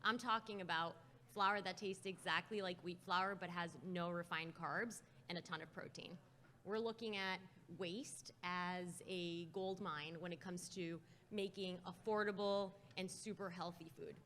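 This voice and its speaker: A woman startup founder introducing herself on a conference panel, lively and friendly. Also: lowish voice, measured pace. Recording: long reverb from a hall.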